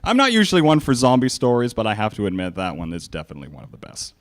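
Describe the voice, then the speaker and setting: A man giving a theatrical, animated live reading of fan fiction as narrator and presenter. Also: lowish voice, rapid-fire.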